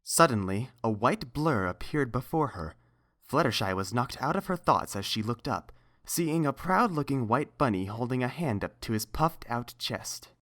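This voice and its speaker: A woman's voice: rumbling low, animated, moderate pace, a bit bright. A woman reading a scripted story aloud as the narrator.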